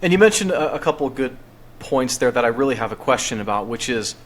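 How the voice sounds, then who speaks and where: A man hosting a podcast, talking in a relaxed, conversational way with the occasional light chuckle. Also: mid-range voice, quick pace. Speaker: podcast host. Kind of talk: casual podcast chat.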